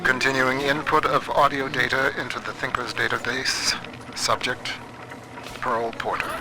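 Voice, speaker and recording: A man's voice: mid-range voice, little pitch movement, normal speed, heavy background noise, lightly acted. An actor playing a practical, determined male scientist in a video game, guiding an ally in a focused, businesslike way. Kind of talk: naturalistic video game acting.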